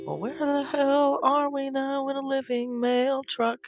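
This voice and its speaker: Bewildered, nervy man in an audio drama played by a woman, slightly high-pitched, music underneath. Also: unhurried, highs cut off. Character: frightened, bewildered man singing carols to cope.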